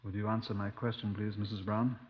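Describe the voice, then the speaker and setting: A man acting a gruff, impatient London police superintendent in a radio drama, with a clipped, formal British delivery. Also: on the low side, level tone, fairly quick. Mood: brisk questioning. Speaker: narrator and lead actor. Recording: muffled old 1950s radio recording.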